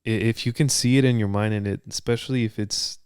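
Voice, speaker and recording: Low smooth-voiced dude, chill podcast chat, dry close sound. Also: male voice, quick pace, no echo. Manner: relaxed, brief agreeing interjections. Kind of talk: casual chat.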